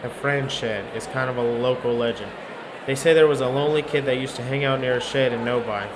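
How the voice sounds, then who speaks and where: Wry male narrator over clearly noisy background. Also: medium pitch. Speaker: game player reading narration aloud. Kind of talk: first-person story narration of a visual novel. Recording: noisy urban ambience behind.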